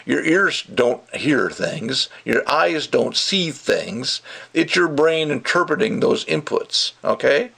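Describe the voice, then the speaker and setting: An older man with a gravelly, creaky voice giving a casual, rambling review in an opinionated, emphatic way. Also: on the high side, lively intonation, slow, fairly bright tone. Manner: opinionated, rambling, emphatic, acts out mocking quotes. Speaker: audio gear reviewer. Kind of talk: casual ranting video review.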